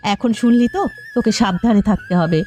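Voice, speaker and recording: A woman's voice: mid-range voice, normal speed, warm tone, soft background music, lightly acted. A woman playing an anxious, protective mother of a grown daughter in a cartoon drama, speaking in a firm, urgent, pleading way. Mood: worried, firm.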